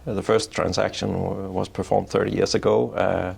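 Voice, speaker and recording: A middle-aged man from Denmark speaks with a gravelly, fairly low voice. He explains things patiently as an interview guest, circling back and rephrasing as he goes. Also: flat delivery, normal speed, a little mellow. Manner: explanatory, self-restarting. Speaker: payments strategy executive, guest. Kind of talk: interview answers. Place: office in Oslo.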